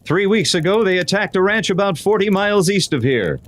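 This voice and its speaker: A man playing an earnest, courteous masked lawman in an old-time western radio drama, speaking in deliberate, declarative lines. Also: high voice, lively intonation. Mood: grave, resolute.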